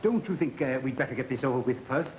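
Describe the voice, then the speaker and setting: An actor playing a cautious, insinuating doctor in a 1930s mystery film, speaking in formal, measured phrases. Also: male voice, medium pitch, lively intonation, a little hiss or hum, natural voice. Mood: insistent. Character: smooth, cautious, insinuating male doctor under suspicion. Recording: muffled 1930s film soundtrack with music.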